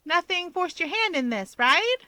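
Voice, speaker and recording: A woman voicing a calm, wry young woman with a light, gently probing manner in a story reading. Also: very high-pitched, normal speed, no room sound, voice pushed up, heavily acted.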